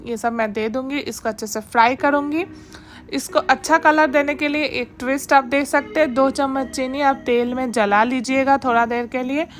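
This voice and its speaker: A woman hosting her home cooking channel, giving steady step-by-step instructions in a voice-over and mixing English words into her speech. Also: on the high side.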